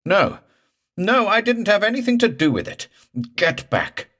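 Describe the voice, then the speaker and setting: A man's voice: sits high, very animated, measured pace, sharp tone, pitched above the performer's own voice, exaggerated voice. A man voicing a terrified man in a boat whose voice goes raw and screeching with panic. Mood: frantic.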